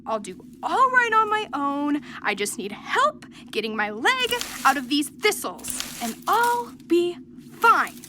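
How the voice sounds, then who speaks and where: Very high-pitched, whiny little sheep. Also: female voice, sing-song, slow, pushed and bright, a little hiss or hum, exaggerated voice. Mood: confused, stubborn. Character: proud, naive young sheep, whiny and excitable. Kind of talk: children's audio drama.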